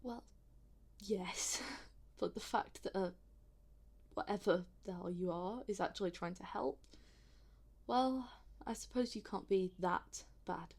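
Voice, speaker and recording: A woman's voice: medium pitch, slow, creaky, long pauses, darkish tone, slightly rough, full character voice. A woman playing a sarcastic, wary, lonely but plucky human in an audio drama, in a naturalistic, informal and emotive way. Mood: wry teasing.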